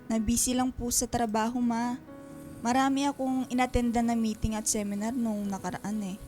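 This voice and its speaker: A woman's voice: a little high, fairly even intonation, moderate pace, dark tone, soft background music, lightly acted. A woman playing a worried, scolding elder sister in a Filipino radio drama, pleading and reproachful. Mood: hesitant, troubled.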